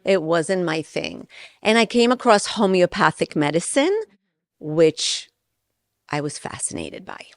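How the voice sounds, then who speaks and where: A woman's voice: lowish voice, lively intonation, measured pace. A middle-aged woman from Israel and New York, an interview guest explaining her work in an earnest, conversational way. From born in Israel, raised in New York from age ten.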